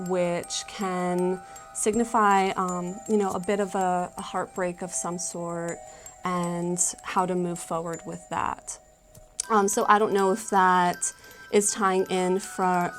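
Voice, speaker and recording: A woman working as a tarot card reader, talking casually and tentatively as she gently explains a spiritual reading. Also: on the low side.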